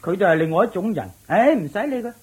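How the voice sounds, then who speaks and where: A woman's voice: medium pitch, lively intonation, fast talker, a little mellow, husky. A woman voicing a smug, wealthy male master of the house in a radio drama, chuckling and ordering his servants about. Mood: amused. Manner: smug, self-satisfied, chuckling, commanding servants.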